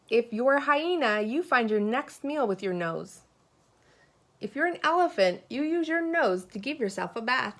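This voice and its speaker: A woman teacher reading a children's picture book aloud expressively, pausing to put questions and asides to her young listeners. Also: a little high.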